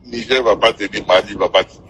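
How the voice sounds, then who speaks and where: A man with a West African French accent talking informally on a phone call, halting and often repeating himself. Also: fairly low pitch, steady tone, fairly bright tone, a little hiss or hum. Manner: halting, repetitive phrasing. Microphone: telephone.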